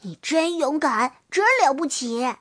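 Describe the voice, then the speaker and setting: A storyteller voicing a small, excitable young toad grandson, wide-eyed and squeaky. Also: unhurried, no echo, studio quality. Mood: admiring.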